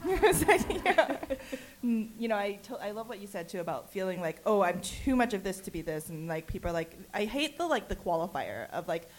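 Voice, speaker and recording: A woman's voice: medium pitch, sing-song, fairly quick, a little hiss or hum, low-quality recording. A woman writer speaking on a panel, rambling with many restarts and fillers. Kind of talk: panel discussion.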